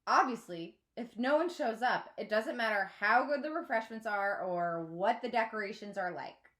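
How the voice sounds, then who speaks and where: A woman's voice: on the high side, normal speed, a bit bright, close to an everyday voice. A woman reading a storybook voices a bossy, smug schoolgirl captain with a haughty, emphatic, impatient delivery.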